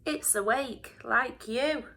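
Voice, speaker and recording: A woman's voice: on the high side, takes it slowly, vocal fry, pushed and bright, pitched above the performer's own voice, heavily acted. A woman voicing a weary, grumpy but patient bigger male mouse in a children's storybook, blunt and firm.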